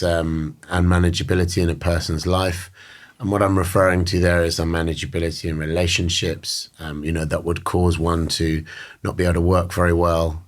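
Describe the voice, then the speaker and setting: A British man with a very low, deep voice talks slowly and thoughtfully in an interview. He pauses often and circles back on his phrases as he works through each idea. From Britain. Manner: measured, thoughtful, many fillers and restarts. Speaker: guest. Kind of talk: reflective interview talk.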